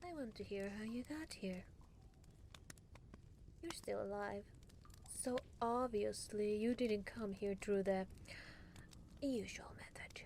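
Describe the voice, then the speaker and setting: A woman voicing a haughty, teasing demon lord in an ASMR roleplay, condescending and theatrical, with breathy murmurs. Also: medium pitch, dark tone, slight background noise. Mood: curious, condescending.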